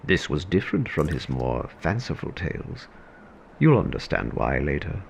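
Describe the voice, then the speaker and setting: An actor as a whimsical storyteller narrating an audio drama in a dramatic, theatrical manner. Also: male voice, rumbling low, big pitch swings, normal speed, gravelly, slight background noise, heavily acted. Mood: confiding. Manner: dramatic, swooping from hushed to urgent.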